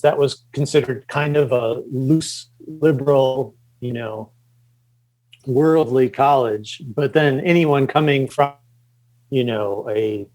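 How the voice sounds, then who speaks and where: A middle-aged man from the Chicago suburbs, a podcast guest, talking casually and haltingly with many fillers and restarts. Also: medium pitch, darkish tone. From Chicago suburbs, Illinois. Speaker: podcast guest, comics creator. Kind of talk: casual interview talk.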